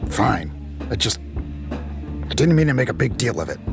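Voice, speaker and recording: A man's voice: medium pitch, big pitch swings, moderate pace, gravelly, over music. A man playing a cagey, sheepish space lieutenant with a hesitant, evasive manner in an audio drama. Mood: flustered.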